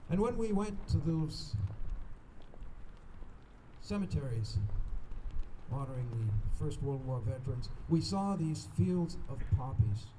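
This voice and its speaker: A man's voice: a little high, animated, slow pace, long pauses. Man speaking earnestly over noisy ambience. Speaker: ceremony host. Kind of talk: informal welcome speech at a remembrance ceremony.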